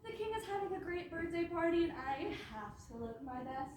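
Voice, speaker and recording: A woman plays a high-pitched stage princess who gushes theatrically, with possessive menace under the sugar. Her delivery swings widely, heard from afar in a very echoey room. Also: lively intonation, a little mellow. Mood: sugary menace. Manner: theatrical, drawn-out gushing. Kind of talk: comic stage acting. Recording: very echoey hall, far mic, noisy.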